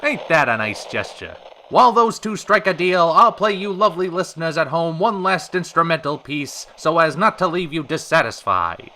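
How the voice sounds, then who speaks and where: A man's voice: fairly high pitch, animated, normal speed, pushed and bright, rough recording. A man acting as a genial radio show host, with folksy, theatrical patter in an old-time radio style. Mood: smooth showmanship.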